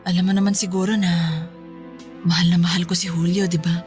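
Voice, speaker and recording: A woman's voice: lowish voice, little pitch movement, a little fast, darkish tone, faint music behind, close to an everyday voice. A woman playing a sly young mistress in a Filipino radio drama, feigning innocence with a smug, teasing manner. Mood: smug, defiant.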